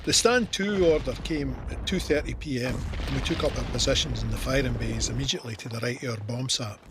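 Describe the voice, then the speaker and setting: A man's voice: mid-range voice, noisy background, natural voice. An actor playing a Scottish First World War corporal, telling his memories plainly in an audio drama. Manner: plain-spoken, matter-of-fact storytelling. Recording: artillery and gunfire effects behind.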